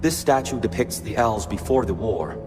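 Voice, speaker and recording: An actor playing a calm, learned guide to ancient lore in a video game, speaking in a measured, explanatory way. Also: male voice, on the low side, close to an everyday voice. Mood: calm, instructive. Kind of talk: dramatic video game dialogue. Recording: long reverb under loud music. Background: game music.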